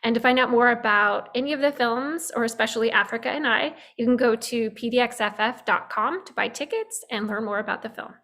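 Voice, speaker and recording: A woman hosts a film Q&A, speaking a little higher than most. She is friendly and asks her questions in a loose, chatty way, often pausing with an um. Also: a bit bright. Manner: friendly, with frequent fillers. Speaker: festival Q&A host. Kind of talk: moderating a film Q&A.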